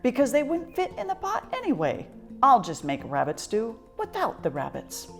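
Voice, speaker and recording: Storybook dog played by a woman: male, dim but good-natured, earnest, easily fooled, animated delivery. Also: on the high side, moderate pace, vocal fry, soft background music, voice pushed up, exaggerated voice. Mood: self-satisfied.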